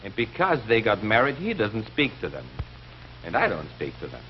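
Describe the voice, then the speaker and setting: A man's voice: lowish voice, huge pitch swings, moderate pace. An actor playing a stubborn, emphatic father in a theatrical stage comedy, on a 1950s television recording. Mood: stubborn, indignant. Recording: dull, faintly noisy 1950s TV audio.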